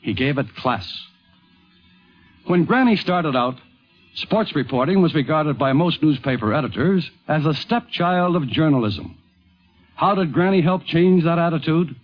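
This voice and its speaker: A man's voice: on the high side, lively intonation, a little slow, faint music behind. A man narrating a scripted radio documentary in a 1950s broadcast style. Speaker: narrator and host. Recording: dull vintage 1950s radio recording.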